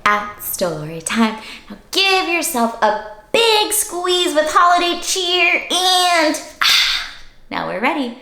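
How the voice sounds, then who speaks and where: A woman's voice: extremely high pitch, sing-song, slow, pushed and bright, roomy sound. A woman hosting story time, reading aloud to children in a theatrical, playful and interactive way. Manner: theatrical, playful, addresses young listeners. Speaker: story time host and reader.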